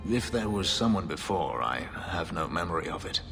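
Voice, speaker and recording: An actor playing a haunted, guarded former slave, an elf warrior who speaks in a measured, formal, restrained way, in video game dialogue. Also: male voice, low voice, very animated, vocal fry, over music, full character voice. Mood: tender.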